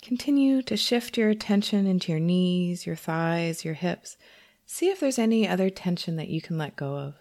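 A woman leading a guided meditation as a teacher, speaking gently and unhurriedly with long pauses. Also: fairly low pitch, expressive.

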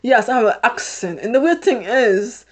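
A woman's voice: fairly high pitch, expressive, normal speed. A middle-aged woman from Haiti with a British-sounding accent, rambling through a casual solo podcast, repeating herself and laughing often. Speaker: podcast host.

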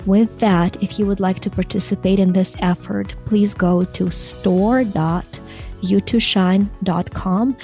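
An upbeat woman from Ukraine hosting a podcast, her voice sitting at an ordinary pitch for a woman. Faint music runs underneath her. She speaks in an inspirational, encouraging way. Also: mellow. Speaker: podcast host. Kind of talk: scripted podcast intro and interview.

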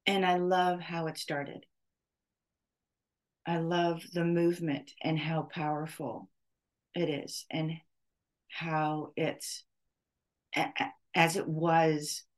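Slow, low-voiced middle-aged woman, halting. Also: little pitch movement. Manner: halting, searching for words, with long pauses. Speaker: podcast host. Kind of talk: off-the-cuff spoken reflection.

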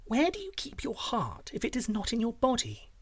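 Storybook princess played by a man: high, airy, coaxing, bold and cunning. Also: huge pitch swings, normal speed, breathy edge, dry recording, full character voice. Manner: coaxing, airy, sweetly persuasive. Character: bold, sweet-talking captive princess, cunning and convincing.

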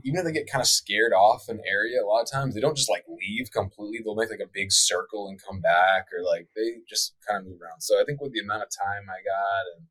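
A man's voice: on the low side, fast, hardly any pauses, a bit bright, slightly rough, dry recording, rough recording. A young man who hosts a hunting show, chatting casually on a podcast with lots of likes and ums. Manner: laid-back, many likes and ums, faith talk.

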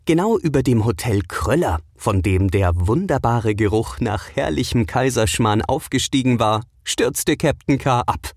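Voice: medium pitch, lively intonation, unhurried, hardly any pauses, a little mellow, no room sound, studio quality. A narrator reading a scripted children's audio adventure as a storyteller.

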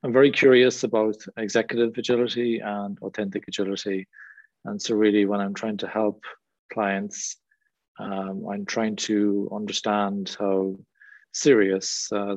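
A man's voice: lowish voice, flat delivery, normal speed, no echo. A middle-aged Irish man speaking as a guest trainer in a webinar, discursive with frequent fillers. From Ireland. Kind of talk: webinar Q&A talk. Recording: dull lo-fi sound.

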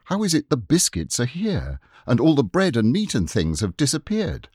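A man's voice: mid-range voice, wildly swooping pitch, moderate pace, a little mellow, husky, dry recording, clean studio sound, voice pushed up. A man reading the part of an earnest, questioning boy who easily grows dejected. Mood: puzzled. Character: earnest boy, the captain, Robert.

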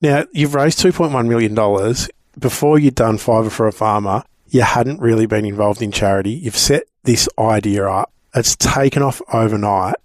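A man's voice: fairly low pitch, normal speed, dry recording. A man with an Australian accent doing a casual interview, matey and encouraging in the way he asks questions.

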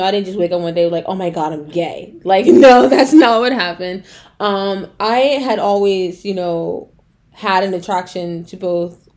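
A woman's voice: mid-range voice, darkish tone. Chatty young woman with a cold. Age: young adult. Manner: chatty, rambling, lots of "like" and "um". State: has a cold, throat clearing. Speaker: podcast host. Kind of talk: casual solo podcast chat.

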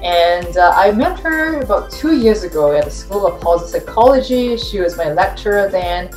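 A woman's voice: medium pitch, animated, normal speed, a little mellow, rough recording. A woman hosting a podcast, welcoming and effusive as she introduces and questions her guest. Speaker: podcast host. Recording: faint music behind.

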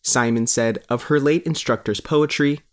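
A man's voice: mid-range voice, moderate pace. Man reading a scripted news feature. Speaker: newspaper reporter reading his article.

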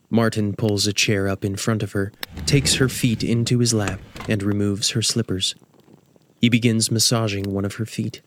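Lowish-voiced male radio drama narrator. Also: a little hiss or hum.

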